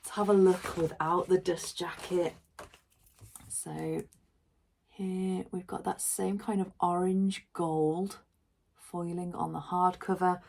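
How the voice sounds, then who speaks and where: Slow-talking woman with a husky voice. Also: on the low side, dark tone. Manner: gushing, thinking aloud in short pauses. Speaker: book vlogger. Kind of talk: casual unboxing commentary.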